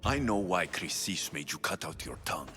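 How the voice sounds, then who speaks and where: An actor playing a blunt, determined Spartan mercenary in a video game, with a sardonic edge. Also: male voice, deep voice, lively intonation, normal speed, bright, forceful tone, faint music behind. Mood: scornful, accusing. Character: blunt, determined male Spartan mercenary searching for his mother.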